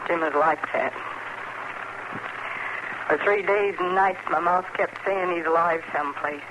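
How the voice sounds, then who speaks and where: An actress playing a grieving, stoic frontier farm widow with a rural American accent, brooding and plain-spoken in an old radio western. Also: female voice, lowish voice, steady tone, measured pace, highs cut off, lightly acted. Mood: stoic grief. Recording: noisy old radio drama recording.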